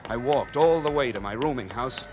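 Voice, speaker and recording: A man acting a wary, hardboiled man on the run in an old radio noir drama, narrating and talking in terse, deadpan slang. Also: medium pitch, moderate pace, close to an everyday voice. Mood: uneasy narration. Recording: old time radio recording with music behind.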